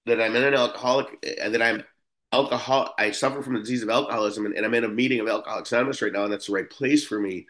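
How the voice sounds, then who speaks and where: A fifty-something man from Buffalo, New York, with a fairly low voice, speaks to a recovery meeting. He wanders through his story casually, doubling back on his words and filling pauses with "uh". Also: steady tone, fairly bright tone. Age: 50s. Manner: rambling, frequent restarts and fillers. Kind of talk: personal recovery talk.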